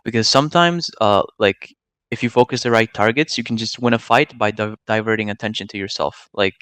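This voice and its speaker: A nineteen-year-old man from Serbia talks in a fairly low voice at a rapid clip. The sound is dry and close. He is a slangy, opinionated gamer who explains things at length in casual chat. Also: fairly bright tone, smooth, no echo. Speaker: gamer and streamer being interviewed.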